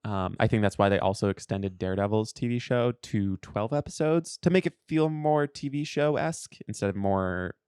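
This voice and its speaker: A man's voice: lowish voice, moderate pace, few pauses, darkish tone, clean tone, dry recording, studio quality. A man co-hosting a podcast in casual, playful pop culture banter. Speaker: podcast co-host.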